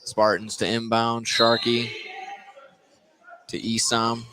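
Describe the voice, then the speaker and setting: Male play-by-play radio announcer, mid-range voice, stumbles and restarts mid-call, clear arena noise behind. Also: medium pitch, completely flat delivery. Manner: halting, often restarts and repeats phrases. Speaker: play-by-play basketball commentator. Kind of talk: live sports radio commentary. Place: indoor basketball gym.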